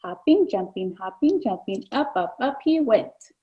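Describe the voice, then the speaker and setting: A woman's voice: high voice, big pitch swings, unhurried, highs cut off. A woman author reading her own picture book aloud to children. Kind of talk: children's read-aloud narration.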